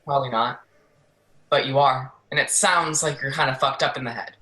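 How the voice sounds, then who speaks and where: Brusque, forceful jail officer, played by an actor. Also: mid-range voice, fairly even intonation, normal speed, close to an everyday voice, poor audio. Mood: scornful. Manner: brusque, sarcastic, bullying. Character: gruff, scornful foul-mouthed jail officer. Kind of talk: audio play acting.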